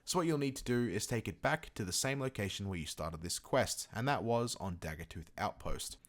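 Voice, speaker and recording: A man's voice: lowish voice, animated, brisk. A man narrating a video game tutorial in a casual, chatty way, with emphatic, informal asides. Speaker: gaming video creator presenting a guide.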